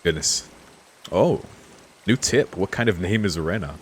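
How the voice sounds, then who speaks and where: Deep-voiced man doing casual game commentary. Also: lively intonation, unhurried, slight background noise. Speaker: game streamer reading along. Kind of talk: casual let's play commentary and read-aloud.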